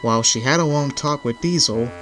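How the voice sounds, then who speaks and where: A male narrator reads a children's story from a script. His voice sits in the middle range, with quiet music underneath. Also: mid-range voice, a little fast.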